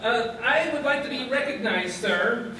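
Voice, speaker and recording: A man's voice: extremely high pitch, lively intonation, normal speed, pushed and bright, full character voice. A man playing an eager, meek junior lodge member, hesitant and deferential, in a comic radio play. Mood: meek, earnest. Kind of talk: comic radio theatre acting. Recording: echoey hall, distant mic, noisy.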